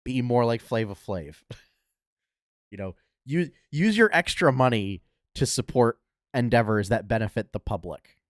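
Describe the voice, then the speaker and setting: A young adult man hosting a casual gaming news podcast, talking in a relaxed way with lots of fillers, a little nasal from a cold. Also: medium pitch, measured pace, no room sound, clean studio sound. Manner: laid-back, many fillers and restarts. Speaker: podcast host.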